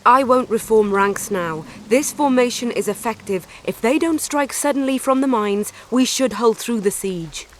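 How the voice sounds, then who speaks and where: A woman's voice: on the high side, moderate pace, hardly any pauses, slight background noise, natural voice. A woman playing a composed, decisive young rebel commander in a fantasy video game, speaking firmly. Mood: grave, decisive. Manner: composed, firm, businesslike.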